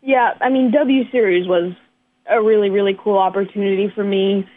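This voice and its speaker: A young woman talks in an easy, unhurried way, with lots of ums and a modest tone. Her voice comes through a thin, tinny phone-like line. Her pitch sits in the middle range. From United States northeast. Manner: many fillers, relaxed and modest. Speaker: guest racing driver. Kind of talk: casual interview talk.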